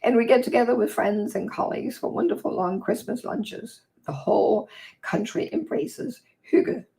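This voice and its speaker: A woman from Denmark hosting a casual livestream and reading aloud from her own book, her voice croaky and hoarse from a long day of talking, chatty and easily sidetracked. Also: a little high, animated, moderate pace, warm tone, poor audio. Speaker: vlog host and author.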